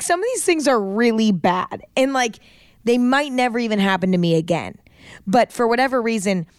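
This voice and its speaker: A young woman with a raspy voice chats her way through a story, full of likes and asides. Her tone stays smooth and resonant under the rasp. The sound is dry and close. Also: fairly high pitch, no room sound. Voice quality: raspy today. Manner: chatty, rambling with lots of likes. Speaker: podcast host. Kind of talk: casual storytelling monologue.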